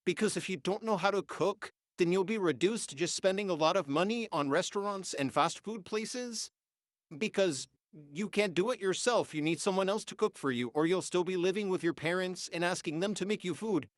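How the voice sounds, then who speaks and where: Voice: mid-range voice, normal speed, no room sound, clean studio sound. A nineteen-year-old vlogger, congested and sniffling, ranting heatedly and swearing through an AI voice filter. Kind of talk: unscripted rant.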